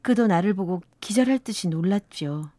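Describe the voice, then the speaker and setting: A woman's voice: mid-range voice, expressive, moderate pace, mellow, no room sound, close to an everyday voice. A woman playing a wistful narrator in her fifties, telling a sentimental first-love story for a radio drama. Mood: wistful, reflective. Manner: sentimental, reflective.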